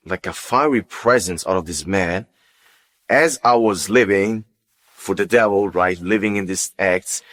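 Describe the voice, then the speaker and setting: Very deep-voiced man giving testimony. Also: a bit bright. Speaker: guest giving a personal testimony. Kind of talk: unscripted personal testimony.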